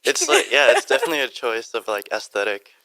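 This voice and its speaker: Bright, clear teenage American guy. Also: medium pitch, huge pitch swings, quick pace, no room sound. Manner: brief, easygoing answers with frequent "like". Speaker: intern guest. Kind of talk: casual interview chat.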